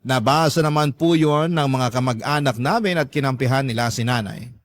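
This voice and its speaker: Male actor, young letter sender, first-person drama narration, earnest, measured, confiding, middle pitch, dry studio. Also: no room sound, close to an everyday voice. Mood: earnest, saddened. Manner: polite, confiding, measured storytelling. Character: earnest, hurting young letter sender recounting family troubles.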